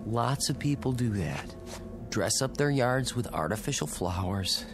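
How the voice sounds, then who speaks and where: An actor playing a level-headed husband in a vintage radio drama, talking in a calm, dry, reassuring way. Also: male voice, medium pitch, unhurried, music in the background. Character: level-headed husband exploring an eerie empty town.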